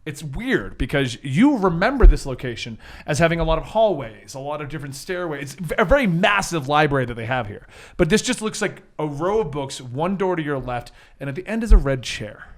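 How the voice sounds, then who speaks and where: Animated male game master, fairly high voice. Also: brisk. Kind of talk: narrating a superhero tabletop game.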